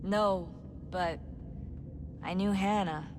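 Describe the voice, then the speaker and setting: An actress plays a compassionate young woman in a video game. She sounds earnest and slightly uneasy, speaking in hesitant phrases at a middling pitch. Clear ambient noise runs behind her voice. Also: steady tone, close to an everyday voice. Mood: sad, earnest. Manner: hesitant, sincere, halting phrases. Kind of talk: conversational video game voice acting.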